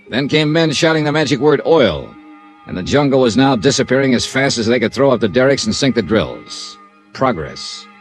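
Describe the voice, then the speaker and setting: A man's voice: medium pitch, natural voice. An American man playing a wry, confident insurance investigator in a 1950s radio detective drama. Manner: wry, breezy, clipped. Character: wry easygoing freelance insurance investigator, confident and quick-witted.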